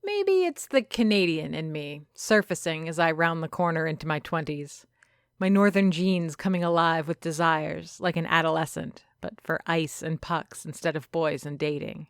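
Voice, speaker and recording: A woman narrating a first-person story from a script, reflective and measured, with dramatic phrasing. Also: deep voice, smooth, no room sound, studio quality. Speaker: narrator of a fiction podcast.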